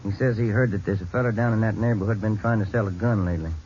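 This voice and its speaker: An actor playing a police sergeant partner with a folksy, easygoing way of talking, in a 1940s radio drama. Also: male voice, on the low side, fast talker, darkish tone, natural voice. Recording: dull old radio broadcast, faint hiss.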